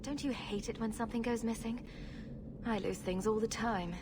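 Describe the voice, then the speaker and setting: A woman's voice: a little high, fairly even intonation, normal speed, lightly acted. An actress playing a sarcastic, flippant female rogue in a video game, with a wry, breezy manner. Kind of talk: video game dialogue acting. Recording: clear noise behind the voice.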